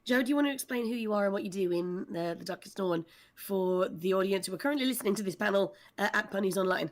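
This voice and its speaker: A woman's voice: mid-range voice, quick pace. A woman, a voice actor, chatting casually and teasing fellow panelists in an online group call.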